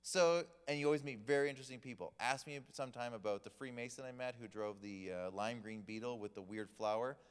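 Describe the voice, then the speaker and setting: A man preaching in a relaxed, chatty way, full of jokes and personal stories. His voice is smooth and resonant, and a long reverb trails behind it. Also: mid-range voice. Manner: chatty, joking storyteller. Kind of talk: casual sermon on money.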